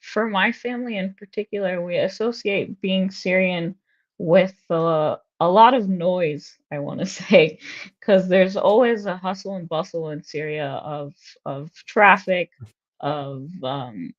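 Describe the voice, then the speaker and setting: A woman being interviewed, speaking in a reflective, steady way with frequent fillers. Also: on the low side, a little slow. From United States. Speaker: interview guest, researcher and activist. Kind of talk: personal conversational interview. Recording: slightly dull lo-fi sound.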